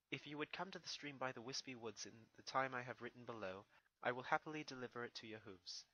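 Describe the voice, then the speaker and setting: A man voicing a mysterious male pony reading out his letter in a formal, measured and polite way. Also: medium pitch, pushed and bright, rough recording.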